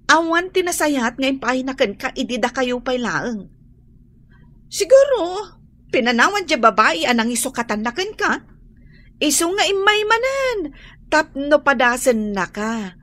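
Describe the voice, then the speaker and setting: An actress playing an outspoken, protective young best friend in an Ilocano radio drama, giving emphatic advice. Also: female voice, high voice, bright, forceful tone, dry recording, exaggerated voice.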